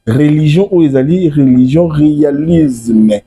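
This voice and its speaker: Very dark, husky Congolese man. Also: fairly high pitch, measured pace. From Democratic Republic of the Congo. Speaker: religious messenger, interview guest. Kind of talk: explanatory interview talk, mixing French and Lingala.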